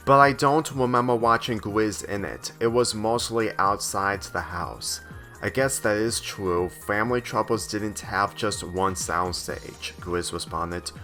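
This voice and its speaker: A man's voice: fairly low pitch, fairly bright tone. A man reading a scripted story aloud, narrating and voicing the dialogue lines himself. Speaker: fan fiction reader.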